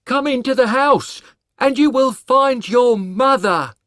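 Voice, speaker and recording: A man's voice: very high voice, takes it slowly, fairly bright tone, no echo, clean studio sound, full character voice. A man playing a stern but kindly woodcutter and foster father in a storybook tale, emphatic and reproachful. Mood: earnest. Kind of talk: dramatised storybook reading.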